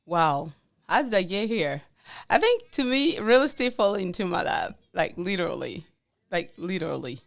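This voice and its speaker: A woman from Congo who grew up in France, speaking with a French accent as a podcast co-host, telling her story in a lively way, laughing and acting out what people said. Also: on the low side, moderate pace, gravelly, no room sound, studio quality. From Congo, raised in France. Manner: lively, laughs often, acts out quotes. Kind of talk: casual interview storytelling.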